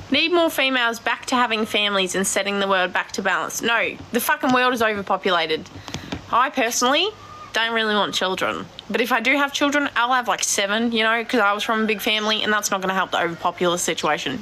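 A blunt Australian woman in her twenties with a naturally deep voice, chatting casually on a live stream from her phone and swearing freely. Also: bright, forceful tone, a little hiss or hum, rough recording. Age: 20s. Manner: blunt, swears a lot, laughs easily. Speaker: cattle farmer vlogger. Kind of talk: casual live stream chat answering comments. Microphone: phone at arm's length.

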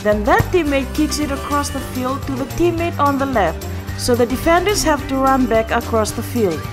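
High-voiced confident sports star. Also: female voice, animated, normal speed, over music. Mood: encouraging. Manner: encouraging, instructive. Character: confident friendly female football star. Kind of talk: animated motion comic acting.

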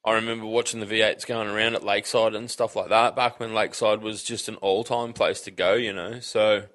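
An Australian man as a podcast guest, talking in a relaxed, rambling way full of fillers. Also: on the low side, brisk. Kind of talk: casual chat.

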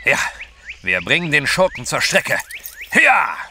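An actor playing a confident, decisive gentleman adventurer in a German audio drama. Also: a little high, wildly swooping pitch, a little slow, vocal fry, full character voice. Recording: loud outdoor scene noise, clicks, animals.

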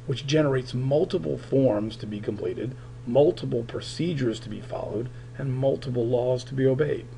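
A male political science teacher giving a recorded screen lecture, his voice raspy while it recovers, talking in a halting way with many fillers. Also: mid-range voice, warm tone, a little hiss or hum, rough recording.

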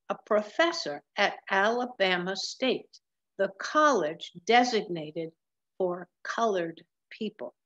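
A woman in her seventies reading a picture book aloud in a deliberate way, with emphatic pauses. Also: medium pitch, dull audio. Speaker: storybook reader. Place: large room at home with wooden ceiling, indoors.

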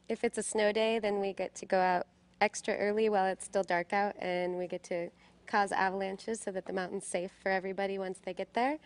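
Relaxed young woman ski patroller. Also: medium pitch. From Alaska. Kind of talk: TV interview answers. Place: TV studio set.

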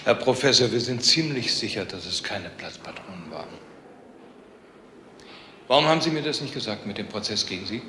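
An actor playing a businesslike, persistent homicide detective in a German crime radio drama. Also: male voice, fairly low pitch, animated, brisk, long pauses, pushed and bright, slight background noise. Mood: businesslike, insistent.

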